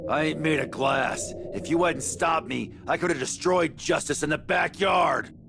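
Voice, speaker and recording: Gravelly, gruff, irritable warrior. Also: male voice, fairly high pitch, unhurried, raised voice, background noise. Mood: defiant. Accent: American. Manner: blunt, coarse, curt. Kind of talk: anime game voice acting.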